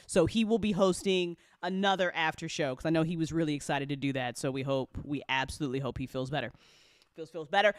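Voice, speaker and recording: A woman hosting a live-stream listen party, chatting casually and excitably with her audience, giggly and quick to swear. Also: fairly low pitch, clear, resonant tone, dry recording, studio quality. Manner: hype-building, talks directly to chat. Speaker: host of a podcast listen party.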